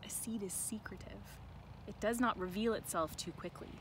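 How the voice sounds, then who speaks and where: A woman reading a picture book to children, expressive and deliberate, with chatty asides. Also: medium pitch, whispered. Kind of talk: read-aloud with chatty asides. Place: outdoors in a sunny farm garden. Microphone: camera held by another person nearby. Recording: outdoor ambient noise.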